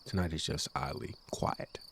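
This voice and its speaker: A man narrating a horror game playthrough in a hushed, slow, deliberate reading style. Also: slight background noise. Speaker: game streamer, channel host.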